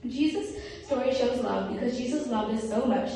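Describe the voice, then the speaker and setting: Female children's presenter, high-pitched, addressing an audience; roomy, far-off sound with faint noise. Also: moderate pace, mellow. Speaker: puppeteer and presenter. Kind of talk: children's Bible lesson to live audience. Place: room with a live audience. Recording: very echoey room, distant, faint noise.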